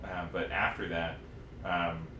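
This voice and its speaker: A man in his thirties chatting casually as a podcast co-host, rambling and halting as he goes. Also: low voice, steady tone, distant mic. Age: 30s. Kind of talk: casual chat about old games. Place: echoey room, live stream podcast recording. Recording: roomy echo, noticeable background hiss.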